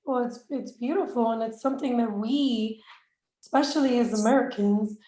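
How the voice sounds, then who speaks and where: Woman, interview host, gushing, high-pitched, echoey room. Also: mellow. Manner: effusive, gushing, halting with fillers. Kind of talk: enthusiastic interview chat.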